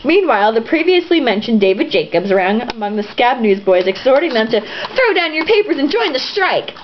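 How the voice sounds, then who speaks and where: Dull-sounding, high-voiced woman reading with lively swings. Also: a little fast. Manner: irreverent, sarcastic, giggly, stumbles over sentences. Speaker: reader of a novel aloud. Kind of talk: humorous read-aloud with casual asides. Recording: dull lo-fi home recording, some noise.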